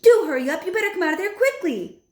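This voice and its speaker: A woman's voice: fairly quick, echoey room, lightly acted. Woman putting on a loving old grandma. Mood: affectionate. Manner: high, affectionate, calm.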